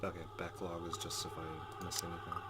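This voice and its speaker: A man's voice: low voice, monotone, quick pace, loud music behind the voice. A man hosting a let's play, reading a visual novel aloud with dramatic, jittery asides.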